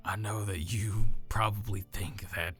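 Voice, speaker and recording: A man voicing a weary, scarred old orc mentor with a deep, throaty, gravelly voice, a subtle Southern twang and a hushed, quiet delivery. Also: steady tone, unhurried, soft background music. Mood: consoling.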